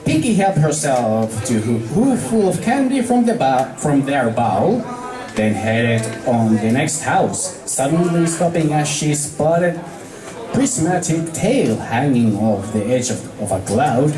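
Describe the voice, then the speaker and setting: A man's voice: medium pitch, big pitch swings, slow, over music. A man with a Finnish accent reading a story aloud to a group as an amateur, stumbling over words and rereading them. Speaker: amateur fan fiction reader. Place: echoey room at a fan meet-up. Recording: echoey room with background crowd.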